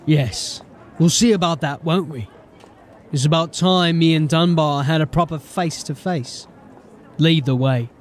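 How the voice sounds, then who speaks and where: An actor playing a wary, sardonic city police sergeant in a fantasy audio drama, dry and guarded in manner. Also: male voice, on the high side, a little slow, lightly acted. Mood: dry, resolved.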